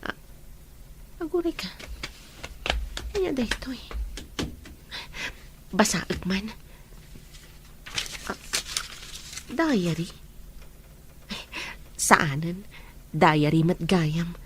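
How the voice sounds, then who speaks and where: A woman's voice: on the low side, animated, very slow, pauses often, noisy background. A woman playing an earnest, secretly troubled young friend in an Ilocano audio drama. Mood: troubled, hushed.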